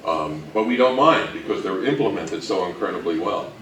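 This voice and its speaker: Lowish-voiced man presenting in a roomy space. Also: lively intonation, moderate pace, fairly bright tone. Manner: joking, conversational. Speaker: co-presenter of a history talk. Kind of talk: informal slide presentation. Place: room presentation before an audience. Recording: roomy, distant mic, faint background noise.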